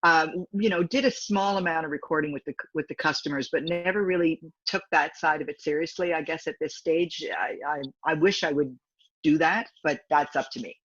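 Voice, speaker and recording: A woman in her fifties, a singer with a low, deep voice, chatting in an interview and rambling with many restarts. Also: a little fast, husky, no echo, highs cut off. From Canada. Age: 50s. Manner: rambling, talkative, frequent restarts. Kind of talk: casual interview chat.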